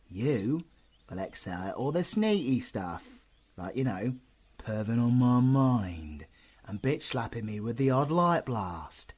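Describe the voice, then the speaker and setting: A British man playing a cocky, irritable, teasing supervillain in an intimate audio roleplay, with a husky voice and gruff, sardonic delivery. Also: medium pitch, a little mellow. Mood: mocking. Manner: gruff, sardonic, swearing banter.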